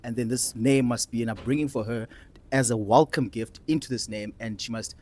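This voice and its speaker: A man's voice: mid-range voice, brisk, darkish tone. South African man chatting casually. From Cape Town, South Africa. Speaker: discussion host and participant. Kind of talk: casual conversational discussion.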